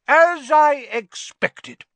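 A man voicing a loud, drunken, domineering middle-aged aunt who sneers and bullies. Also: very high voice, extremely slow, no echo, pitched above the performer's own voice, exaggerated voice. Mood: scornful.

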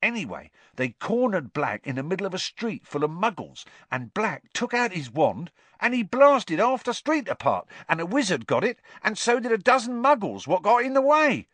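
A man voicing an excitable young male bus conductor who gossips and keeps seeking agreement. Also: sits high, moderate pace, hardly any pauses, no room sound, pitched above the performer's own voice, full character voice. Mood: excited gossip.

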